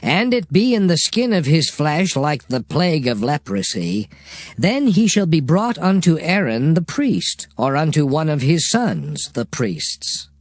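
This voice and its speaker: A male actor plays a solemn divine lawgiver in a dramatized Bible reading. The voice sits fairly high and moves through very lively rises and falls, declaiming each decree formally, in a dry, close recording. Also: a little slow, dry recording. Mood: solemn, commanding. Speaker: dramatized scripture reader.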